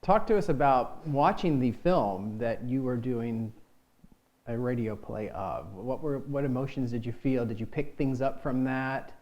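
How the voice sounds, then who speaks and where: A man with a middle-pitched voice is interviewing. He speaks as the host of a casual group chat, putting questions to guests in conversational language. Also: a little slow, a little mellow, muffled recording.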